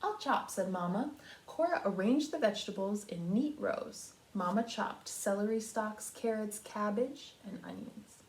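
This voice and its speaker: A woman reading a children's storybook aloud like a kindergarten teacher, expressive and pausing to talk to young listeners. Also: medium pitch. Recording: roomy echo.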